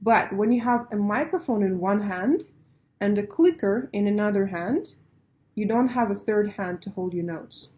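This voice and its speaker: Woman, Russian native, pitch coach; mellow tone, emphatic and direct. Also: animated, normal speed, lo-fi sound. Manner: emphatic, direct, laughs lightly, stacks repeated phrases. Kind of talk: coaching talk to startups.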